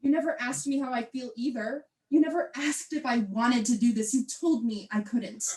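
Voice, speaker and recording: High female voice, young adult, student actress as defiant hurt wife, stage play dialogue. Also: moderate pace, dark tone, slight background noise, natural voice. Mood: resolute, upset. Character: defiant, wounded wife longing to sing. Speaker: student actor.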